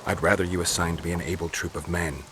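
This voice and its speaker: A man's voice: sits low, level tone, fast talker, a bit bright, a little hiss or hum. An actor playing a stoic, dry-witted monster-hunting swordsman in a fantasy video game, terse and wry. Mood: dry, composed.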